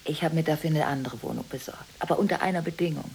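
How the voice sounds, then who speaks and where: An actress playing a volatile, emotionally contradictory married woman making frantic phone calls in a radio drama, swinging abruptly between hushed and agitated delivery. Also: deep voice, fast talker, slight background noise. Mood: hushed, conspiratorial. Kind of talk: 1980s German radio drama monologue.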